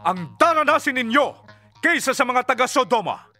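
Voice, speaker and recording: A solemn holy preacher in a scripture audio drama. His voice sits high and rings out brightly, with deliberate, declamatory phrasing. Music plays behind his fervent, authoritative words. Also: very animated, exaggerated voice. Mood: stern, lamenting.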